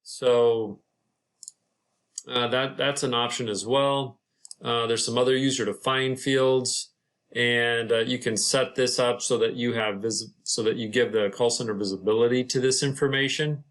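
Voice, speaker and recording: Male tutorial narrator, mid-pitched, unscripted and explanatory, talking through software steps informally with a halting delivery. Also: steady tone. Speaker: property manager presenting a software tutorial.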